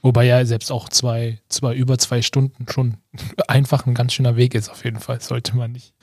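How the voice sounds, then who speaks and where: A man's voice: medium pitch, level tone, moderate pace, a little mellow, dry recording. Man hosting a podcast, dry sound. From Leipzig, Germany. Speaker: podcast co-host.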